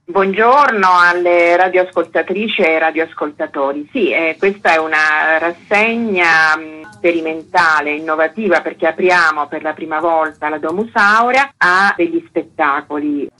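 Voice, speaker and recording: A woman's voice: sits low, normal speed, sharp tone, poor audio. A woman interviewed on radio as a director, giving orderly, didactic explanations. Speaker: archaeological park director, interview guest.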